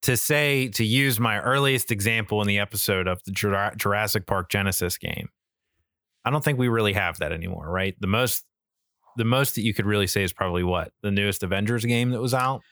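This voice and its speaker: Low, bright-voiced man in casual podcast chat. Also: fairly quick, dry recording, studio quality. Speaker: podcast co-host.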